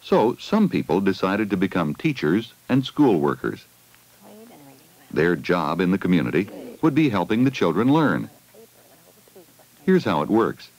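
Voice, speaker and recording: Male narrator for a 1980 school film: low voice, very varied melody, simple explaining and questioning aimed at kids. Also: big pitch swings, a little slow, long pauses, darkish tone, dull audio. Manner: addresses children with simple questions. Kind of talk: scripted educational film narration. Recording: 1980 16mm film soundtrack.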